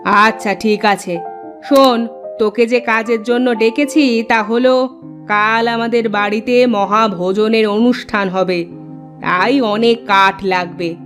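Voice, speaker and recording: Cartoon lady of the house, woman performer: bossy, higher voice, order-giving, music behind. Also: fairly even intonation, takes it slowly, fairly bright tone. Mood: instructing. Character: bossy mistress of the big village house.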